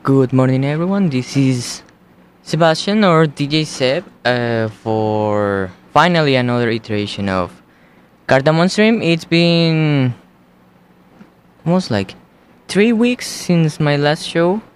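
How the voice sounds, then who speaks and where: A young man with a Colombian accent hosting a college radio show, talking in a slow, halting, casual way. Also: a little high, expressive, slight background noise. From United States. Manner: slow, unhurried, halting delivery.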